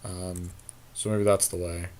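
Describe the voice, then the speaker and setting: Low-pitched man thinking aloud over a game. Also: flat delivery, unhurried, a little mellow. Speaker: podcast host playing an online card game. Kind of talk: casual gameplay commentary with a partner.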